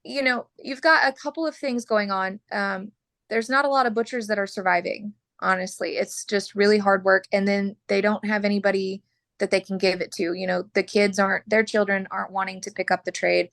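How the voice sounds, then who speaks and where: Gravelly woman losing her voice. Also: medium pitch, fairly even intonation, brisk, a bit bright, dry recording. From Oklahoma. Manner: frank, talkative, throat clearing. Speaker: butcher and mobile processor owner. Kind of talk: podcast interview guest.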